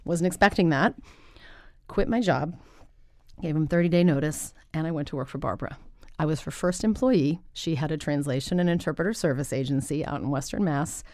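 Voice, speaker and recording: A middle-aged woman talks through her past in a podcast studio, her voice on the low side. She tells stories in a lively, chatty way and laughs easily. The sound is dry and close, with no room around it. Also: brisk, dry recording. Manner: lively storyteller, laughs often. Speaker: guest, interpreter. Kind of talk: conversational podcast interview. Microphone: studio microphone.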